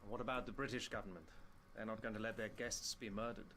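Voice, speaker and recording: An actor playing a brisk, practical gentleman hero in a thriller game set in 1914, matter-of-fact in manner. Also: on the low side, steady tone, slight background noise. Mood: brisk, sceptical. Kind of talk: dramatic game voice acting.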